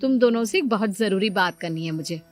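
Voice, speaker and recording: A woman's voice: mid-range voice, expressive, brisk, soft background music, lightly acted. A woman playing an insistent, scheming sister-in-law and mother in a dramatised moral story. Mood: coaxing, insistent.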